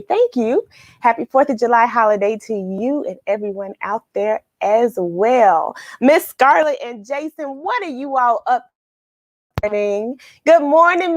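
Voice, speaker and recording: A woman hosting a live read-along for children, chatting with viewers in an upbeat, excited manner. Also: fairly high pitch, no room sound.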